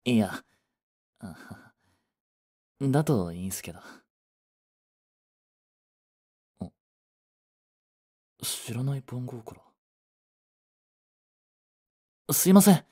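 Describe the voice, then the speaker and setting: A young office worker, played by a man, carries the regret of a proud boyfriend in a romantic audio drama. The voice is soft and husky, with a dark, mellow tone. The delivery is unhurried and introspective. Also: medium pitch, very animated, exaggerated voice. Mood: flustered, polite.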